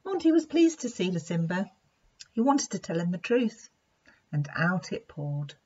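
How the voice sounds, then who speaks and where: Husky, expressive woman reading a children's story. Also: fairly low pitch, moderate pace, muffled recording. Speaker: storybook reader, play therapist.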